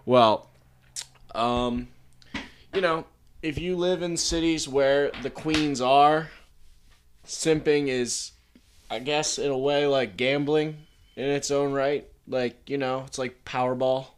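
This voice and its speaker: A man's voice: mid-range voice, steady tone, takes it slowly, smooth. A young man in his twenties from Pittsburgh, co-hosting a casual podcast from an attic, joking in a blunt, crude way. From Pittsburgh, Pennsylvania. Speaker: podcast co-host. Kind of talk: casual chat.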